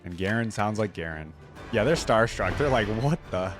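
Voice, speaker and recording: A man's voice: fairly low pitch, animated, moderate pace, faint music behind. A man hosting a reaction video with casual commentary, slangy and informal, thinking aloud as he goes.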